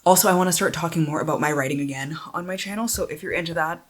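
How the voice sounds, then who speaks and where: A woman's voice: sits low, racing. A woman book vlogger chatting casually to viewers in an informal, self-deprecating way, sometimes sweary or reading blurbs aloud.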